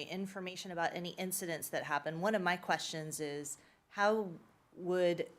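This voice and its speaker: A woman on a school board giving an informal report at a meeting, with plenty of fillers. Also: fairly low pitch.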